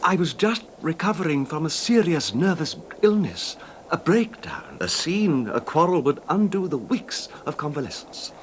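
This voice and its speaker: An actor playing a controlled, wronged husband calmly confessing his revenge in a 1970s radio drama, measured and understated, with slight hesitations. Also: a little high, slight background noise. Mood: aggrieved, controlled.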